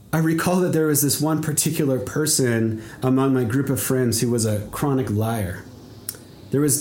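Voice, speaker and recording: A young man from Canada preaching a sermon in an earnest, measured way, often repeating words as he goes. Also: mid-range voice, darkish tone. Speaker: pastor. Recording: echoey room.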